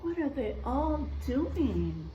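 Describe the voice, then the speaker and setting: A woman teacher reading aloud and chatting to young children in an expressive, encouraging, teacherly way. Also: high voice, drawn-out words, highs cut off, a little hiss or hum. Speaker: first grade teacher. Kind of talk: picture book read-aloud and chat to young pupils.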